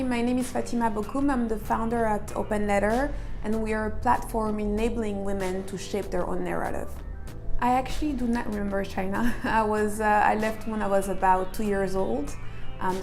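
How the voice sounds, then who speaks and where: Creaky-voiced woman telling her own story. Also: mid-range voice. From Libya, Saudi Arabia and Mali. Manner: halting, reflective, searching for words. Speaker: startup founder, interviewee.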